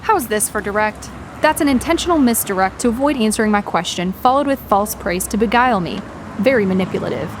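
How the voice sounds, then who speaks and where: A woman playing a sharp, defiant young researcher in a horror audio drama, speaking formally, directly and challengingly. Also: mid-range voice, animated, brisk, noisy background, close to an everyday voice. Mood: accusing, analytical.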